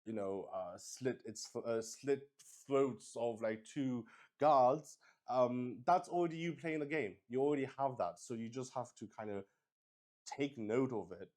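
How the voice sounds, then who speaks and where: A man thinking aloud as he plays and explains a solo roleplaying game on stream, halting and often searching for words. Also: mid-range voice, a little mellow.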